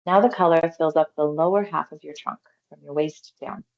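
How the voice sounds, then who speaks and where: A woman leading a guided mindfulness exercise in a gentle, unhurried, reassuring manner, pausing between short phrases. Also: sits low, lo-fi sound. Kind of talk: guided meditation, body scan instruction.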